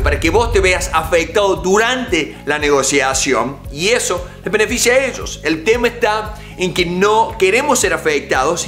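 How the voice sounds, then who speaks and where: Emphatic coach in his forties, high bright voice, soft music underneath. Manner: emphatic, animated, gestures with hands. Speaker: negotiation coach. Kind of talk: direct-to-camera coaching talk. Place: home office with bookshelves and art.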